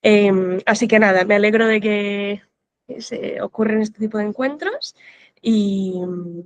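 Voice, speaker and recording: A woman's voice: medium pitch, a little slow, no echo. A woman moderating a conference session over a video call, talking casually and often checking in with the audience. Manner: chatty, with frequent tag questions. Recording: dull lo-fi video call audio.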